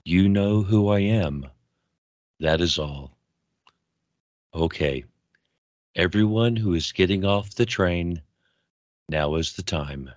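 Man acting deep, slow, fatherly mystery guide. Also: no echo, muffled recording. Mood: gentle, kindly. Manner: gentle, deliberate, reassuring. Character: calm, wise, fatherly mystery man guiding souls on a train. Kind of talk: fantasy audio drama acting.

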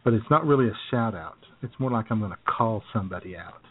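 A man's voice: fairly low pitch, lively intonation, fast, breathy edge. A man co-hosting a podcast, teasing his partner and laughing often in casual banter. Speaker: podcast co-host. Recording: tinny phone-like sound.

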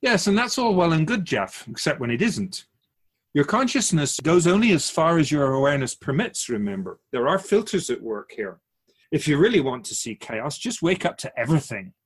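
An actor playing a wise, otherworldly male keeper of souls in a radio drama interview, gently teaching like an outsider to humankind, with a husky voice. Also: fairly high pitch, very animated, moderate pace. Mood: calm, instructive.

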